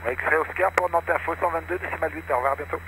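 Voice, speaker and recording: A man's voice: fairly high pitch, rapid-fire. A man working as an airfield controller, giving traffic instructions over aviation radio. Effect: VHF aviation radio. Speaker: airfield tower radio operator. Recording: noisy aviation radio transmission.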